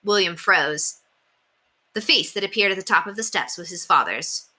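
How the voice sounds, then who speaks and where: Bright, expressive woman reading aloud. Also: lowish voice, normal speed. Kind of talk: expressive children's storybook narration.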